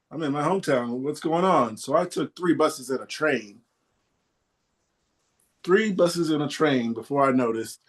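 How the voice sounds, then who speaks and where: A man in his thirties telling personal stories in casual podcast chat, with rambling quoted asides. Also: medium pitch. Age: 30s. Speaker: podcast host.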